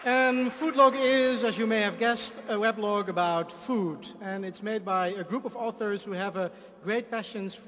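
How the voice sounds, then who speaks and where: A man from the Netherlands presenting an award on stage, steady with a few fillers. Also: high-pitched, normal speed, few pauses. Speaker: jury member presenting an award. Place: large hall. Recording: hall reverb from a stage mic.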